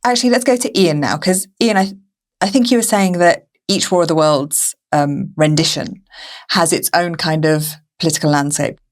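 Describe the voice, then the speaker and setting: A woman's voice: sits low, normal speed. A woman hosting a podcast, interviewing guests in a conversational style. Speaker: podcast host.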